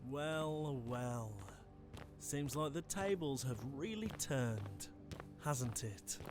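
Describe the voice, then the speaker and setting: A man's voice: medium pitch, lively intonation, very slow, over music. A man playing a taunting, impatient monster hunter with a casual, sardonic menace, in a dramatic ASMR audio roleplay. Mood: smug, taunting.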